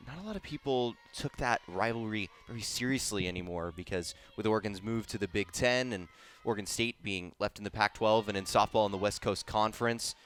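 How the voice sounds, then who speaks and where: A man's voice: on the low side, fairly bright tone. A man giving color commentary, adding background notes in a conversational way.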